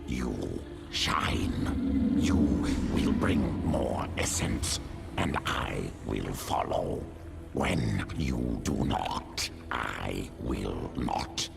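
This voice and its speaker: A man's voice: a bass voice, lively intonation, drawn-out words, vocal fry, full character voice. A man voicing an ancient, predatory alien beast in a video game, with a guttural growl and terse, primitive phrasing. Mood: cold, predatory. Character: ancient primal alien beast pack leader, cold and predatory. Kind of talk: video game cinematic acting. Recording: loud music under the voice.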